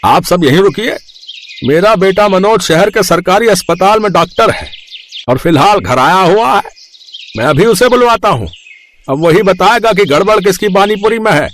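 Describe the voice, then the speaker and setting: A man voicing a calm, authoritative older male village headman in an animated story. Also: high-pitched, moderate pace, voice pushed up. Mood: commanding.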